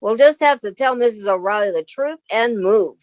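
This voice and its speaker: A woman's voice: mid-range voice, animated, normal speed, darkish tone, no room sound, clean studio sound, close to an everyday voice. A woman playing a sensible, weary young roommate, caring and wry, in a 1950s-style radio comedy. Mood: decisive. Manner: motherly, exasperated, wry.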